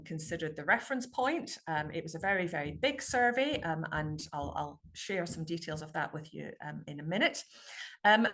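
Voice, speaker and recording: A woman presents her research in an online talk over a video call. Her tone is bright and forward, and her pitch sits a little low. She hesitates constantly, putting an "um" in front of nearly every phrase. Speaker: university dialect researcher giving a talk. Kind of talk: online presentation to a local society.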